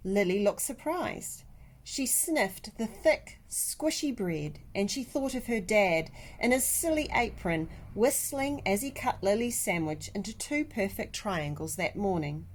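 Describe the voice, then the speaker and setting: A woman reading a children's picture book aloud like a teacher, with deliberate, expressive emphasis. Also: medium pitch, a little hiss or hum, poor audio. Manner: animated, deliberate, with dramatic emphasis.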